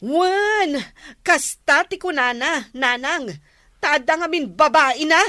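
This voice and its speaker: Jealous, high-voiced, hot-tempered wife. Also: female voice, slow, pushed and bright, no room sound, studio quality, exaggerated voice. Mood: defiant, vengeful. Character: hot-tempered, jealous middle-aged wife, quarrelsome and defiant. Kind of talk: radio drama acting.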